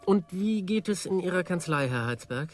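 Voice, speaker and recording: A man playing a smooth, calculating heir in a German radio drama, polite and deliberate. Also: high voice, expressive, faint music behind. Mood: politely eager. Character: smooth, calculating, greedy heir feigning family affection.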